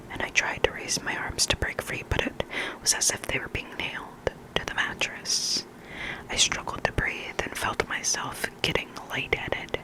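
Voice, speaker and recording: A hushed, breathy whisper reading stories slowly and deliberately in ASMR style, close to the microphone. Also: poor audio. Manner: slow, deliberate, hushed, with repeated trigger words. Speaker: host reading listener horror stories.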